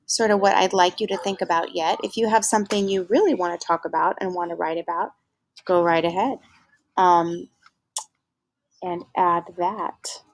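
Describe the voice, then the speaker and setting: A woman teacher reading a novel aloud to her class, casually pausing to pose questions and give instructions with fillers. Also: on the low side, steady tone, no room sound.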